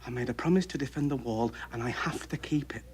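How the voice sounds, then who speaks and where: An actor playing an earnest, timid young man who holds to his sworn promise, speaking gently but firmly. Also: medium pitch, fast talker, natural voice. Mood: resolute. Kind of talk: TV drama dialogue. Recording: noisy TV clip played back.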